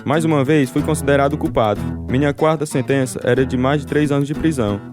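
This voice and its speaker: A man's voice: medium pitch, fast talker, close to an everyday voice. Actor as an earnest, devout man, guitar music behind. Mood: solemn. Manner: earnest, resolute. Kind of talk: first-person radio drama narration.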